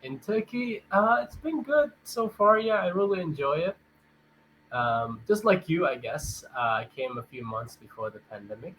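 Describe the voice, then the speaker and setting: Bright-voiced young man chatting on a video call. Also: a little high, very animated, normal speed, slight background noise, rough recording. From born in Thailand, raised in Canada. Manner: friendly, hesitant with fillers, laughs softly. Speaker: English tutor. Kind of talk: casual video-call chat. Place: home in a big city.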